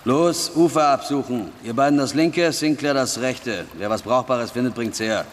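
Man acting a rough bullying teenage boy, echoing space. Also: medium pitch, normal speed, a little hiss or hum, lightly acted. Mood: gruff, commanding. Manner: curt, challenging, commanding. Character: rough, bullying working-class boy of thirteen, gang leader. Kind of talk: radio play acting.

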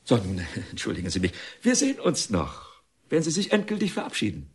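An actor playing a stern, self-important middle-aged reformatory director in a German radio drama, speaking formally and deliberately with a husky voice. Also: male voice, mid-range voice, wildly swooping pitch, exaggerated voice. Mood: firm, official.

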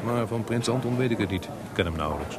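Man acting a calm kindly older composer, lowish voice, measured, radio play w crowd murmur. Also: lightly acted. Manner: measured, reassuring. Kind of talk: Dutch radio drama acting.

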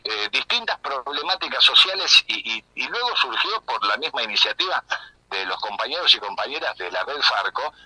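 A man's voice: medium pitch, very animated, moderate pace, bright, forceful tone, breathy edge, no echo. A man with an Argentine Spanish accent speaks as a radio interview guest, explaining at length in an earnest, enthusiastic way with rephrasing and asides over a phone-like line. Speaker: interview guest, community radio communicator and project coordinator.

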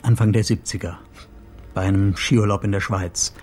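An actor playing a sober, bereaved husband in a radio play, speaking in a subdued, matter-of-fact and slightly halting way. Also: male voice, on the low side, steady tone, a little mellow, close to an everyday voice. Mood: sober, informative. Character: bereaved husband, a sober man reporting his wife's death.

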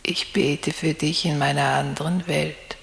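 A woman's voice: sits low, steady tone, measured pace, gravelly, full character voice. An actress playing a dead mother's pious, mournful ghost in a radio play, solemn and incantatory. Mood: mournful, tender. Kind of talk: 1960s German radio play acting. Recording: long cavernous reverb.

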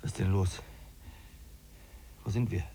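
Low, husky, gravelly man, dreamy hiker. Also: fairly even intonation, a little slow, mellow, exaggerated voice. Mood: drowsy. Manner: reflective, poetic. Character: weary, dreamy male wilderness hiker, reflective. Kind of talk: 1950s German radio drama acting.

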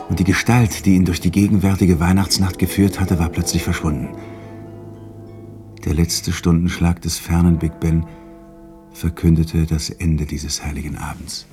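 A man narrating a 1960s German radio drama as a grave storyteller, measured and dramatic. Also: low-pitched, darkish tone. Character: grave storyteller of a Christmas ghost tale. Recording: 1960s radio production with music.

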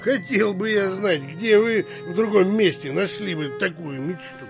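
An actor playing a bullish, stubborn provincial landowner in an audio drama, haggling and boasting emphatically. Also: male voice, sits high, gravelly, music in the background. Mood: stubborn insistence. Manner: emphatic, blustering, drawn-out boasting. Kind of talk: theatrical audio drama acting in Russian classic.

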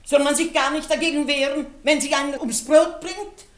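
High, indignant, overwrought mother played by a woman. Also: steady tone, normal speed, reverberant, lightly acted. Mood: outraged. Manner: indignant, declamatory. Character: outraged, overwrought mother defending her daughter. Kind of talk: dramatic radio acting.

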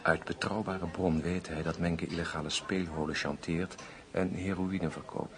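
An actor playing a scheming, vindictive public prosecutor in a radio drama, speaking in a formal, insinuating way. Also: male voice, sits low, little pitch movement, moderate pace, natural voice.

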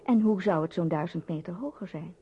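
A woman's voice: on the low side, a little fast, warm tone. A woman reading scripted narration for a radio play. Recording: muffled noisy old radio recording.

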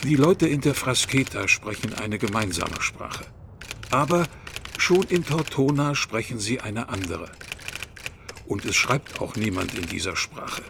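An actor playing a medieval man who recounts his past in a German radio play, in a measured, explanatory way. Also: on the low side, sing-song, creaky, noisy background, heavily acted. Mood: matter-of-fact. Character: medieval man recounting how he first wrote in his dialect.

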